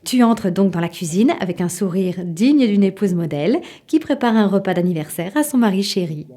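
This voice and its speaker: A woman's voice: mid-range voice, lively intonation, brisk, mellow, natural voice. A woman playing an impatient wife and mother, narrating a scripted audio drama in the second person. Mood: confiding.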